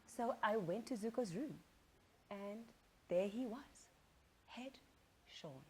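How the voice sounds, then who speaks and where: Female literary reader, animated intonation, measured pace, first-person fiction, faint ambient noise behind. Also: mid-range voice. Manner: dramatic, acts out dialogue. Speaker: reader of a short story. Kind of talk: expressive literary reading, first-person narration.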